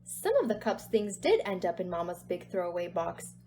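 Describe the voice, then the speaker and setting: Animated woman reading a storybook. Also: on the low side, moderate pace, slight background noise. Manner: dramatic emphasis. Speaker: storybook reader. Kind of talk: expressive children's read-aloud narration.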